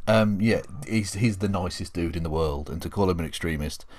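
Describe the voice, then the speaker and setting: Low-voiced man in casual banter. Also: fairly quick, darkish tone, a little hiss or hum. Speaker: co-host on a discussion channel.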